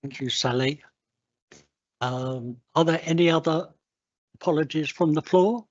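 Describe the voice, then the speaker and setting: An English man chairing a council meeting, speaking slowly and haltingly with many uhs. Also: a little high. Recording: dull lo-fi council livestream audio.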